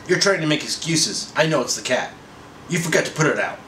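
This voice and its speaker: A man's voice: fairly high pitch, expressive, brisk, bright, forceful tone, voice pushed up. A man voicing a blunt, exasperated wife in a scolding, insistent manner for a comic story. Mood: accusing. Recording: roomy echo, faint background noise.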